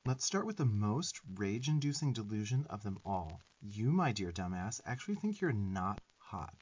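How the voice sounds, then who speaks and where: A man's voice: fairly low pitch, very animated, normal speed, a little mellow, slightly rough, muffled recording. A man voicing a sarcastic, bossy but affectionate little demon twink in a profane, emphatic ASMR roleplay. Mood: exasperated scolding. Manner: sassy, scolding, profane, emphatic.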